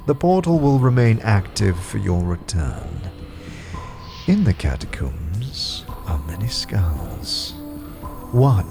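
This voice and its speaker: Grim withered old underworld master: very low, slow, haughty and menacing, fantasy audio drama. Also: male voice, heavily acted. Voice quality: gravelly, grim voice. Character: withered old man, grim, imperious underworld collector of skulls.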